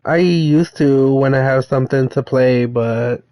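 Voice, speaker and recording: A man chatting casually at home, explaining things in loose, halting phrases. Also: medium pitch, mellow, no echo. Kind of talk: casual chat. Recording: dull lo-fi home recording.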